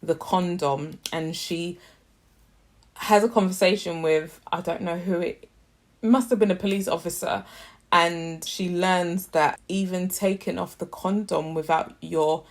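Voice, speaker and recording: A young British woman casually reviewing a TV series, chatty and slangy with animated asides. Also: lowish voice, a little slow. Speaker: YouTube TV reviewer.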